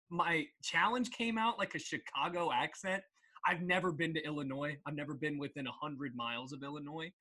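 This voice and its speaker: A young man co-hosting a casual podcast, joking with quick asides. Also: medium pitch. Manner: jokey, animated, quick asides. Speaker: co-host.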